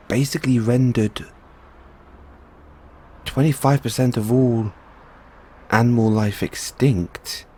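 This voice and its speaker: A man playing an eccentric, geeky mad scientist boyfriend in an audio roleplay, speaking in a husky, theatrical way. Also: mid-range voice, a little mellow. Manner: theatrical, geeky, hesitant musing between outbursts. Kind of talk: audio roleplay acting, addressed to listener.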